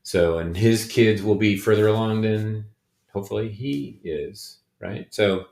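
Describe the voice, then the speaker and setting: A middle-aged man with a very low, deep voice, speaking slowly and haltingly with frequent ums. He is talking personally and reflectively in a casual podcast chat. The sound carries the echo of a bare room. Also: gravelly, a little mellow. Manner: hesitant, many ums and restarts. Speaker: podcast co-host. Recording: echoey room.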